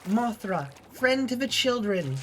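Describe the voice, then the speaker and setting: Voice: medium pitch, very animated, a little slow, fairly bright tone, a little hiss or hum. A streamer with a stuffy, sniffly nose, chatting casually and rambling while playing a game. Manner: chatty, rambling, trailing off mid-sentence. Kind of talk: casual gaming chat.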